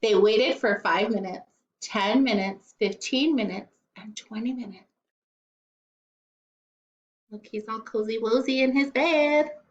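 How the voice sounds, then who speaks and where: A woman's voice: on the high side, slow pace, pauses often. Woman reading a storybook, echoey room. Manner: expressive, with playful asides. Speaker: primary school teacher. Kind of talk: storybook read-aloud for children. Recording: echoey, slightly dull room recording.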